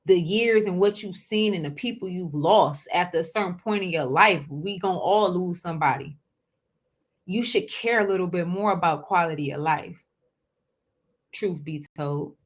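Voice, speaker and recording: A woman in her twenties hosting her own show, talking casually to viewers, often repeating herself and calling them y'all. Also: fairly low pitch. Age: 20s. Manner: chatty, repeats words, addresses audience as y'all, asks okay?. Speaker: host. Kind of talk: casual solo talk to viewers.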